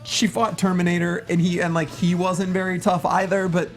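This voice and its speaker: A man co-hosting a film review show, chatting casually in an animated, sarcastic, slangy way. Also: high-pitched, moderate pace, faint music behind. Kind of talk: casual panel chat.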